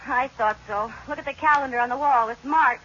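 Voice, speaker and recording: Actress as dizzy wisecracking housewife, very high voice, melodramatic, mid-century radio comedy, noisy muffled recording. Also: female voice, a little fast, natural voice. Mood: deadpan. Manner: theatrical, exaggerated wailing and dry quips. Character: scatterbrained, wisecracking wife, comically dramatic and tearful. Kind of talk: 1940s-50s radio sitcom acting.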